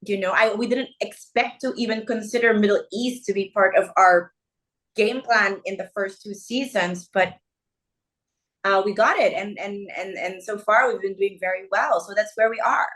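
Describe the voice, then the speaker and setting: A woman chats as an interview guest with a lively, storytelling manner. She acts out conversations as she recounts them. She keeps pulling the listener along with quick "okay?" and "right?" tags. Her pitch sits in the middle of the range for a woman. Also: poor audio. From Philippines. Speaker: fashion designer, guest. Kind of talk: casual interview chat.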